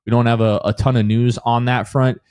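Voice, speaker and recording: A man's voice: mid-range voice, little pitch movement, fairly quick, darkish tone, clear, resonant tone, dry recording. An American man hosting a podcast, chatting casually about sports. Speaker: podcast host.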